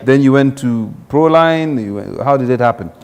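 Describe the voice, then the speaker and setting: A man's voice: mid-range voice, normal speed, smooth. A man hosting a conversational talk show interview, informal, asking follow-up questions and dropping in encouraging interjections.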